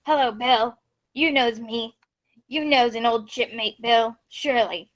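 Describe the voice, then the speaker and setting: A woman's voice: on the high side, measured pace, heavy background noise, natural voice. A woman playing a sly, wheedling male pirate with rustic seafarer speech in a radio play.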